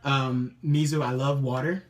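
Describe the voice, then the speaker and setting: A man with a mid-range voice, neither high nor low, telling the stories behind his tattoos. He talks in a chatty, upbeat way and often stops on an um or trips over his sentences as he goes. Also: flat delivery, a little slow. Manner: chatty and upbeat, many ums and self-restarts. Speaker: interviewee showing his tattoos. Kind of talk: casual personal account to camera.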